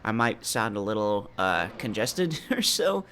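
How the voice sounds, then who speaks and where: A man's voice: fairly low pitch, sing-song, a little slow, pushed and bright, slight background noise. A man with a stuffy, congested nose, chatting casually as host of a let's play. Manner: chatty with fillers and laughs. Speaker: gaming channel host.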